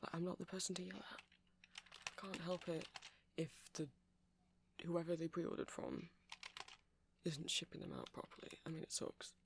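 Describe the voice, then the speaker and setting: Man playing an awkward, creaky-voiced vampire shopkeeper. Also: fairly high pitch, level tone, normal speed, long pauses, background noise. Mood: exasperated, defensive. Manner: hesitant, stammering, apologetic, nervously chuckling. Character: awkward, rambling, flustered male vampire music shop owner. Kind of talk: ASMR audio roleplay, casual and intimate.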